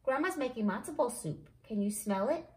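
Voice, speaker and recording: A woman's voice: on the high side, animated, normal speed, warm tone, lightly acted. A woman voicing an earnest, eager young granddaughter in a storybook, emphatic and pleading, in an echoey room. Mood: gentle.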